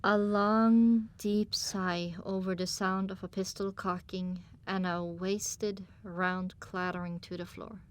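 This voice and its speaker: A woman's voice: mid-range voice, flat delivery, slow pace, hardly any pauses, a little hiss or hum. A woman narrating a story in the first person in a dramatic audiobook style.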